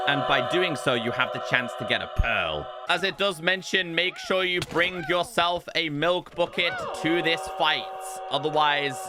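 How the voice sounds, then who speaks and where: A man giving game showcase commentary as a video host, in an expressive, emphatic presenter's delivery. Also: fairly high pitch, heavy background noise. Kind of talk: game showcase commentary, part scripted narration, part live reaction. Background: music.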